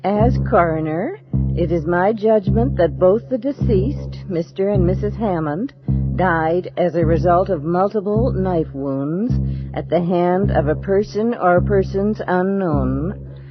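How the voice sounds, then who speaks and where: A woman acting a formal coroner in a radio drama. Her low voice is measured and official, with music behind and a dull sound. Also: lightly acted. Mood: solemn, official.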